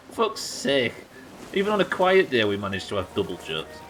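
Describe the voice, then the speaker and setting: A man's voice: medium pitch, wildly swooping pitch, measured pace, a bit bright, slight background noise. An English man doing casual live game commentary, sweary and jokey, bantering and reading out chat at his desk. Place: indoors at a desk.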